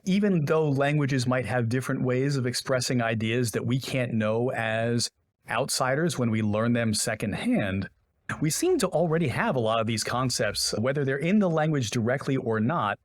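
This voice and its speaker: A man's voice: medium pitch, no room sound, clean studio sound. A man co-hosting a podcast, musing reflectively with sarcastic asides. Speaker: podcast co-host. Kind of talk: casual podcast discussion.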